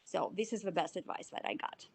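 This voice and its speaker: Russian-accented woman, halting answers. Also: lowish voice, vocal fry. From Belarus. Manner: halting, searching for words, frequent fillers. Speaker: CFO, interviewee. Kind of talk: casual interview answers.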